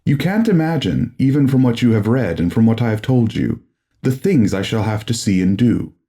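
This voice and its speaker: A man voicing a calm, courteous but condescending male occult explorer in a gothic horror audiobook, speaking formally and earnestly. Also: medium pitch, normal speed. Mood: cool, insistent.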